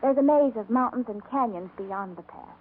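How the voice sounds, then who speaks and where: Lady playing worried american pioneer wife, earnest, kinda high voice, muffled 1950s radio western. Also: normal speed, natural voice. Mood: pleading. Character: worried, earnest frontier wife, a grown woman. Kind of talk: 1950s radio drama acting. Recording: muffled, noisy old radio recording.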